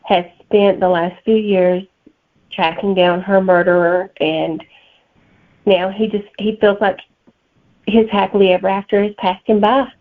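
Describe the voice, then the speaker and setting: A woman's voice: medium pitch, steady tone, measured pace. A middle-aged or older woman from Alabama with a Southern accent, answering interview questions as a guest author. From Alabama, United States. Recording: tinny phone-like line.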